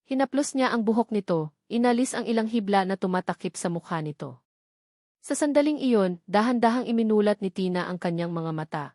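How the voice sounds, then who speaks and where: A woman's voice: medium pitch, a little mellow, no room sound, studio quality. Solemn woman narrating dramatic love story.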